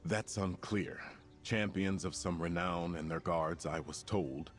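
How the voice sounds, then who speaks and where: Deep, grave island prince. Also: male voice, faint music behind. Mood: grave briefing. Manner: formal, measured. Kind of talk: scripted game character dialogue.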